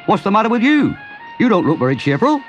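A man's voice: high voice, very animated, fast, soft background music, voice pushed up. A man voicing a kindly but stern middle-aged engine driver, bluff and hearty.

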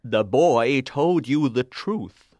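A woman acts out an old storybook wizard, stern and all-knowing. The voice is low for the performer and very slow, with grave, deliberate pronouncements in a dry, close sound. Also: lively intonation, darkish tone, dry recording, full character voice. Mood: grave, solemn. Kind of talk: storybook character voice.

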